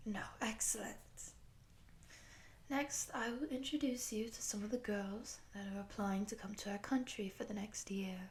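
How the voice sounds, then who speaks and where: Woman as program coordinator, female, polite, composed, measured delivery, listener roleplay. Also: mid-range voice, steady tone, slight background noise, lightly acted. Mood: soft, businesslike. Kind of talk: audio roleplay addressed to the listener.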